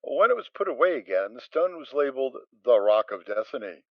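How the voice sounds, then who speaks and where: Expressive male story narrator. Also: medium pitch, fairly quick, no room sound. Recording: dry, dull sound.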